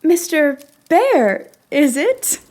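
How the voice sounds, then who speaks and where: A woman's voice: sits high, big pitch swings, extremely slow, a little mellow, full character voice. A woman playing a chatty, inquisitive young lady in a period audio drama, with music and clinking behind. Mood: curious.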